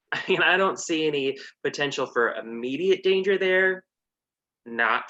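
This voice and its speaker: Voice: medium pitch, animated, a little slow, bright, forceful tone, muffled recording. A comedian narrating an abridged story with sassy, mock-serious commentary.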